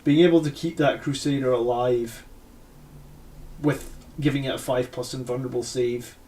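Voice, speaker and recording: A man's voice: mid-range voice, fairly even intonation, normal speed, warm tone, poor audio. A Scottish man hosting a live stream, thinking aloud about army lists with many fillers and self-corrections. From Scotland. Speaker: host reviewing army lists. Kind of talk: live-stream casual commentary.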